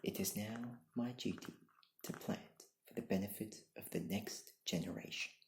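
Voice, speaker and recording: A young adult reading bedtime stories in a soft-spoken, partly whispered ASMR style, with a gentle, deliberate and hushed delivery. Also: fairly even intonation, a little hiss or hum. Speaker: ASMR storyteller. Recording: low-quality, echoey room recording.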